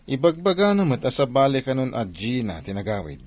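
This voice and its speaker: A man's voice: mid-range voice, big pitch swings, brisk, a little mellow, no echo. A man playing a practical, protective eldest brother in a radio drama, with an Ilocano Filipino accent. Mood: matter-of-fact.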